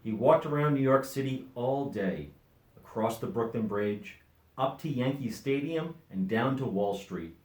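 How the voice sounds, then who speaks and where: A man's voice: mid-range voice, expressive, a little slow, darkish tone. Middle aged guy reading a storybook to kids, lots of up and down, echoey room. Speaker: volunteer story reader. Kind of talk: expressive children's storybook narration.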